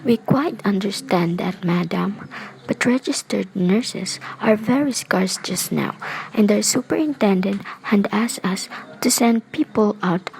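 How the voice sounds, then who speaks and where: A woman's voice: mid-range voice, expressive, a little slow, gravelly, darkish tone, low-quality recording. A woman acting in a radio play as a polite, detached hospital registry clerk with a formal manner. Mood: formal, unruffled. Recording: faint background noise.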